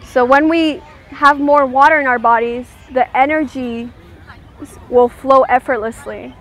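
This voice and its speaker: A woman leading a guided meditation, giving gentle, soothing instructions and checking in with her listeners. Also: high voice, fairly even intonation, measured pace.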